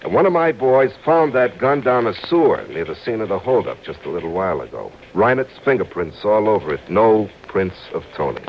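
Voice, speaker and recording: A man's voice: lowish voice, big pitch swings, a little fast, hardly any pauses. An American man playing an exasperated, sarcastic city police lieutenant in a radio detective drama, blustering and sardonic. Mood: sardonic, insistent. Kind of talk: 1950s-60s radio detective acting. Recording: muffled, slightly noisy old radio broadcast.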